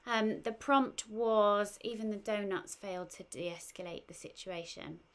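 A middle-aged woman giving a casual introduction to camera as host, speaking from a living room. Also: mid-range voice. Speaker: host, story writer. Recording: faint background room noise.

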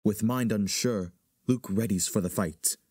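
An actor playing a solemn chorus, narrating verse in a Shakespearean dramatic reading. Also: male voice, fairly low pitch, unhurried, a little mellow, slightly rough, no echo, studio quality.

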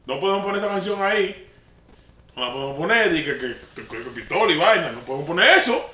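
A man with a Dominican accent in an animated casual group debate, speaking slangy and emphatic and repeating himself. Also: fairly high pitch, fast. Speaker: talk show participant. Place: small dim lounge indoors. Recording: roomy echo, far from mic.

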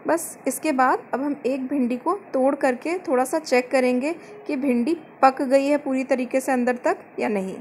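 A woman giving calm step-by-step cooking instructions as the host of a home recipe channel, mixing English words into her Hindi. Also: sits high, normal speed, few pauses, a little hiss or hum. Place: home kitchen.

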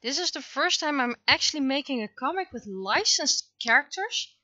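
A woman's voice: high voice, lively intonation, moderate pace, pushed and bright, no room sound, highs cut off. A woman chatting casually on a livestream while she works, rambling and interrupting herself in a scattered way. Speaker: livestreamer, webcomic artist. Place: dry indoor room, livestream setup.

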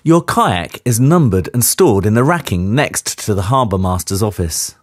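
Lively-pitched man reading safety instructions. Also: no echo, studio quality. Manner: clear, measured instructional delivery. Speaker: safety briefing narrator. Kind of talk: scripted voice-over instructions.

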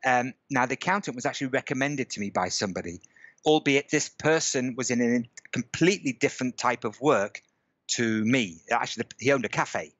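A man's voice: mid-range voice, brisk, a bit bright, no room sound. A middle-aged man tells his story in an easy, chatty way from a home room, stopping again and again to check that his listener is following. He speaks through a headset on a video call. The sound is a little dull and very dry. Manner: chatty storyteller, stops often to check in with "okay?" and "right?". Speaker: guest. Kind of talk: casual chat on a video call.

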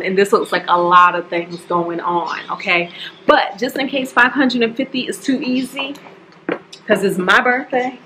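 A woman in her forties, talking casually to camera at home about her monthly budget, chatty and expressive, calling her viewers y'all. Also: mid-range voice, slight background noise, low-quality recording. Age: 40s. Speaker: budgeting vlogger. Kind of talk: casual direct-to-camera talk. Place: home staircase, indoors. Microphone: camera at close range.

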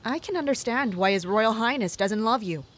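An actress playing a dutiful woman captain of royal guards in an audio drama, measured, blunt and restrained. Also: fairly high pitch, expressive. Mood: stern, blunt. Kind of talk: audio drama acting with inner monologue.

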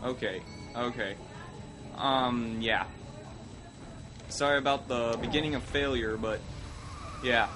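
Young Midwestern guy with a cold, mid-pitch, chatty and self-deprecating while he plays. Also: slow pace, pauses often, noisy background. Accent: Midwestern American. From American Midwest. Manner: rambling, self-mocking, with sudden outbursts. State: sick, coughing. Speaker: let's play host. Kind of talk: casual gameplay commentary. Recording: game music playing loud underneath. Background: game music.

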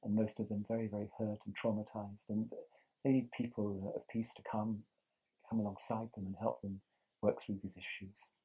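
An older man from England speaks low and gravelly, his tone dark and husky. He answers slowly and reflectively, restarting his sentences as he looks for the right words. He was recorded outdoors on a terrace. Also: level tone, rough recording. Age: 60s. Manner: thoughtful, halting, with many restarts. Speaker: interviewee.

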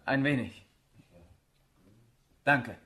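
An actor in a German radio drama playing a stiffly polite, wounded young cloth merchant. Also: male voice, mid-range voice, drawn-out words, pushed and bright. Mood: guarded.

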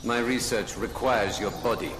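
Man playing a cold, low-voiced scientist villain. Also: normal speed, lightly acted. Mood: cold, grave. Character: cold, grave, obsessed male scientist supervillain in cryogenic suit. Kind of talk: video game character acting. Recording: loud music behind.

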